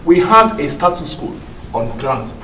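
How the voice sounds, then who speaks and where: An actor with a Nigerian accent playing a stubborn, righteous party secretary in a TV drama, formal, wordy and emphatic. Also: male voice, a little high, big pitch swings, a little slow, a bit bright. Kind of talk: TV drama acting. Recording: echoey room, distant, noisy ambience.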